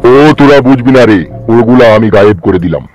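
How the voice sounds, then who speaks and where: A man's voice: mid-range voice, quick pace, muffled recording, loud music behind the voice, natural voice. A man voicing a pompous, brusque village tantric holy man in a comic cartoon, with a grandiose manner. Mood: boastful, brusque.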